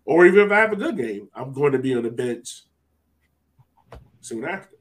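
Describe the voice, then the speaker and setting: A man's voice: mid-range voice, very animated, moderate pace, low-quality recording. A man hosting a sports podcast, talking casually and thinking aloud as he goes. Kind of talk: casual soccer discussion.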